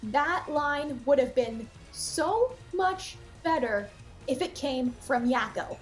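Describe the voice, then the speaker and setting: A woman reviewer giving casual, opinionated cartoon commentary with animated asides and exclamations. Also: sits high, slow pace, soft background music. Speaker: video reviewer.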